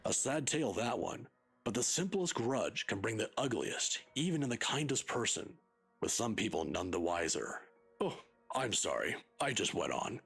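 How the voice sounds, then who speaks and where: A man's voice: mid-range voice, sing-song, normal speed, vocal fry, fairly bright tone, slightly rough, faint music behind, heavily acted. An actor playing a kindly, patient old male professor in a video game, scholarly, pedantic and given to chuckling. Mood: enthusiastic storytelling. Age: older.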